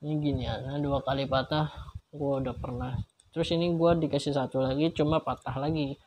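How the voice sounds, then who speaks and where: A man's voice: mid-range voice, darkish tone. A man with a Jakarta Indonesian accent giving a casual, colloquial product review, often repeating himself and padding with fillers. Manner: colloquial, repetitive, filler-heavy. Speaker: vlogger reviewing gear. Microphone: modified external mic on action camera. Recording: clearly noisy homemade recording with clicks.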